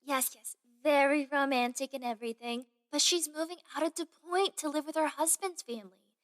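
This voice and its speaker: An actress plays a young palace woman who loves to gossip, in a fantasy audio drama. Her voice is high and expressive. She is chatty and excitable, with a theatrical delivery. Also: fairly quick, lightly acted. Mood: confiding, insistent.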